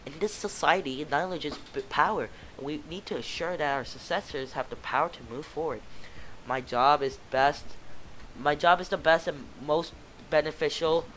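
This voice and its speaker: A man voicing an idealistic adult woman high school teacher who lectures students and scolds them sternly. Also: normal speed, a bit bright, a little hiss or hum, natural voice, low-quality recording. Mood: preachy. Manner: preachy, idealistic, then stern.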